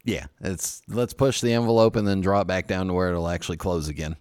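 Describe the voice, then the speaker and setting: A man's voice: low voice, steady tone, brisk, darkish tone, no room sound, studio quality. A man from the southern United States hosting a podcast, joking and laughing easily as he chats with guests. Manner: joking, self-deprecating, laughs often. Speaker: podcast host. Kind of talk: casual interview chat.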